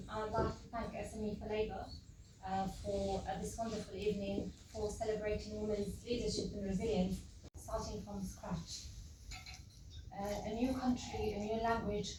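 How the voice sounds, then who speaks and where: A woman's voice: mid-range voice, flat delivery, moderate pace. Woman GP, award speech, distant mic in a reverberant hall, noisy. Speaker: award recipient, doctor. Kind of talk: acceptance speech. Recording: echoey hall from far off.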